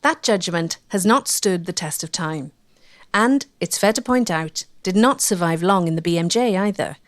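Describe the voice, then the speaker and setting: A woman's voice: fairly low pitch, animated, moderate pace. A woman reading scripted documentary narration as the narrator.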